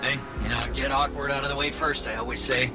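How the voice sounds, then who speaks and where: A man's voice: medium pitch, fairly even intonation, fast, natural voice. An actor playing a nervous, evasive male robot companion who stammers and rambles in video game dialogue. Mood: rambling. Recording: loud game music behind.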